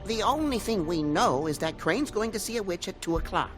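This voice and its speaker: An actor voicing a nervous, apologetic, hungover monkey office helper in a video game. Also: male voice, high-pitched, animated, fairly quick, music in the background. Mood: earnest. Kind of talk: animated video game acting.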